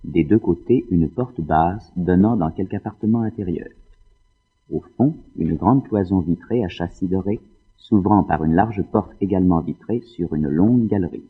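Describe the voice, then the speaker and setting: Low husky guy reading stage directions, muffled phone-like sound. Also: normal speed, soft background music. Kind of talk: scripted audio drama narration.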